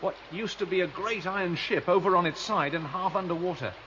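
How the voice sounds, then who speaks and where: An actor playing an eager, wary citizen turned rebel guide, urgent and excitable, in a 1970s sci-fi radio drama. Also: male voice, sits high, fairly quick. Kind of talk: 1970s science fiction radio drama acting. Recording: dull vintage radio recording, stream noise.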